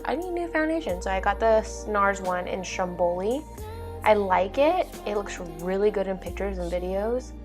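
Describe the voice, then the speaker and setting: A young woman talks through getting ready. Her voice sits at an average pitch and her delivery is casual and chatty. Music plays behind her. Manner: informal, chatty, frequent "like". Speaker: vlog host. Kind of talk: casual get-ready-with-me makeup narration.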